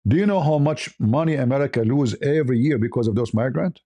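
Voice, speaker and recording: Arabic accented guy streaming his opinions, mellow mid voice, blunt, says things twice to hammer it in. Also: mid-range voice, fast. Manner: blunt, repeats phrases for emphasis. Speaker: livestream host. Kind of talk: impromptu opinionated monologue.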